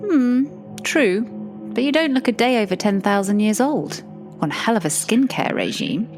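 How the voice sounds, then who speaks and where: A woman's voice: on the high side, sing-song, moderate pace, darkish tone, soft background music. A woman playing a sardonic, unimpressed female Devil in an audio drama, delivering dry, sarcastic retorts. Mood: dry, mocking.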